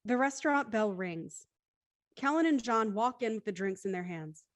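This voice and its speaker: A woman's voice: on the high side, lively intonation, normal speed, no echo. A woman narrating, reading scripted stage directions aloud.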